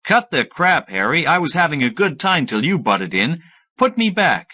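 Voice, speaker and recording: A man's voice: on the high side, very animated, normal speed, bright, forceful tone, pitched above the performer's own voice, full character voice. A man voicing a down-to-earth, skeptical and exasperated scientist sidekick in an audiobook. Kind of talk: audiobook character dialogue.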